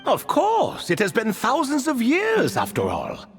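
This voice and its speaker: Ancient trapped spirit from a game, super swoopy theatrical delivery, wistful riddler, bit of music behind. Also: male voice, very high voice, wildly swooping pitch, a little slow, full character voice. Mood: musing, reminiscing. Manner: theatrical, archaic, musing phrasing. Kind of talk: video game cutscene acting.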